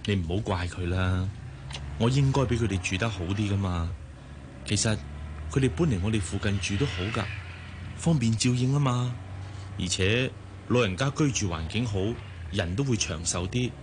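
A male actor playing an affluent, patient fiancé in a Cantonese radio drama, reasoning gently and confidently in a Hong Kong accent. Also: lowish voice, moderate pace, noisy background, lightly acted. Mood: soothing, reasoning. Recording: music behind the voice.

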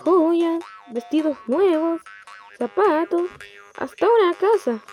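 A woman's voice: very high-pitched, expressive, slow, darkish tone, soft background music, heavily acted. A young woman with a Chilean accent playing a vain, deceitful male fox in an amateur radio play, whiny and boastful. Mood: wistful, eager.

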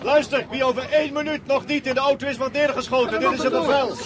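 An actor playing a menacing army captain in a radio play, curt and commanding. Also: male voice, very high voice, fairly even intonation, fast talker. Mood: threatening. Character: harsh, menacing army captain barking orders. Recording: noisy old radio play, crowd behind.

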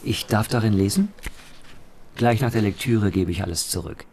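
Radio play negotiator male actor: lowish, creaky, courteous, businesslike, quietly probing. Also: moderate pace, slight background noise, close to an everyday voice. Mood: polite, probing. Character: polite, probing male negotiator interested in scientific papers.

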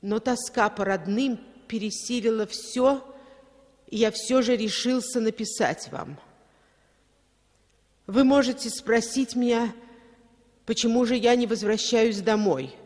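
An actor playing a homesick exiled son, reading a letter in a halting, plaintive way, echoing as in a 1960s radio play. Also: male voice, extremely high pitch, a little mellow. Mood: sorrowful, yearning. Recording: long echoing reverb.

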